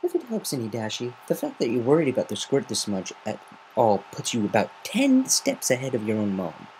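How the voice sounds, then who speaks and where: A man's voice: mid-range voice, wildly swooping pitch, brisk, darkish tone, a little hiss or hum, rough recording. A man voicing a brash female griffin, blunt and needling, in an amateur story reading. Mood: gruff reassurance.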